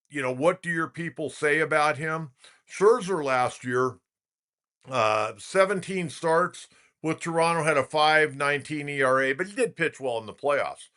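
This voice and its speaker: A man's voice: fairly high pitch, pushed and bright. A man hosting a sports talk show, giving conversational, opinionated commentary and repeating his points for emphasis. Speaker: sports talk show host and basketball play-by-play announcer.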